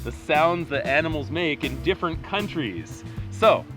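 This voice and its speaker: A man hosting a lively group chat, playful, laughing often and imitating animal sounds. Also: fairly high pitch, measured pace, over music. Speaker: host.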